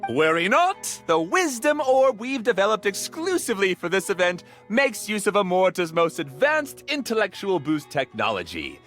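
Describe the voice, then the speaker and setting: A confident academic showman in a video game. He pitches his voice high and speaks in formal, grand announcements meant to reassure. Music plays behind him. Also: sing-song, moderate pace, few pauses, a bit bright. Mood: confident, welcoming. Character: confident male scholar and tournament organizer, formal showman.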